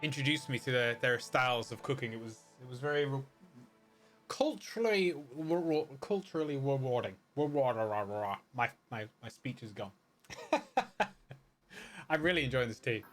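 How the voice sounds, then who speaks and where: A man's voice: medium pitch, animated, a little slow, fairly bright tone. A middle-aged man from the United Kingdom chatting casually as a game streamer, rambling and often searching for his words. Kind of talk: casual livestream chat. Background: music behind the voice.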